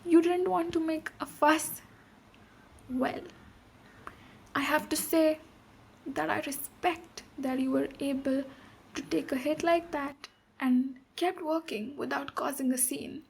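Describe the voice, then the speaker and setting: A woman playing a boisterous, protective female ogre warrior in an ASMR roleplay, speaking deliberately with pauses between phrases. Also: high-pitched, fairly even intonation, vocal fry, a little hiss or hum, exaggerated voice. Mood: gently stern. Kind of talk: ASMR roleplay, storytelling and direct address.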